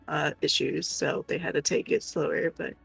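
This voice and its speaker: A woman's voice: low voice, wildly swooping pitch, moderate pace, gravelly, breathy edge, soft background music, poor audio. An American woman co-hosting a livestream, chatting casually with viewers. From United States. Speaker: livestream co-host.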